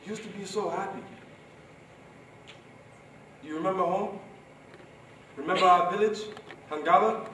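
A man's voice: high-pitched, normal speed, pauses often. A young man performing a dramatic stage monologue as an anguished, protective seventeen-year-old Darfuri refugee, impassioned and pleading. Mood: wistful grief. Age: young adult. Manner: impassioned, pleading, swinging between cries and hushed grief. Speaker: student performer. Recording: roomy, distant stage recording, faint noise.

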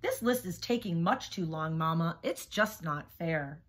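A woman's voice: fairly low pitch, expressive, a little slow, a little hiss or hum, pitched below the performer's own voice. A woman voicing an impatient young girl in a picture book, complaining dramatically about having to work. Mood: whiny, fed up. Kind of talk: picture-book character reading.

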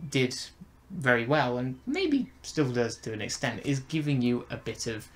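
A young man in casual review chat, speaking haltingly and tentatively as a co-host in a small bedroom. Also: mid-range voice, sing-song, a bit bright. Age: young adult. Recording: noisy room ambience.

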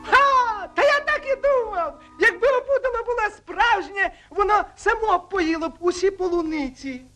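A man's voice: extremely high pitch, animated, moderate pace. A man voicing a small, sulky, boastful male sparrow in a Soviet-era cartoon. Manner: boastful, defensive. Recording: old cartoon soundtrack with music.

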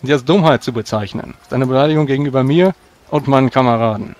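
A man's voice: mid-range voice, fast talker, a little mellow. A man playing a tabletop roleplaying game, speaking in solemn, formal in-character speech.

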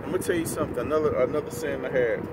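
Emphatic man over very loud outdoor noise. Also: medium pitch, rapid-fire. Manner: emphatic, preachy, slangy, repetitive. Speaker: trucker, vlog guest. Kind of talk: motivational casual chat. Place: outdoors beside a parked semi truck. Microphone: standing back from handheld camera.

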